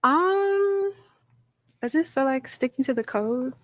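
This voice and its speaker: A woman's voice: sits high, expressive, slow, a bit bright, dry recording. A woman in her thirties answering a dating show host in a hesitant, vague way. Her voice is close and dry. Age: 30s.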